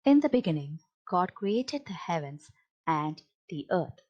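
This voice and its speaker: A woman's voice: on the low side, big pitch swings, unhurried, mellow, lo-fi sound. A woman reading a children's Bible story aloud as a storybook narrator. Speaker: storybook reader.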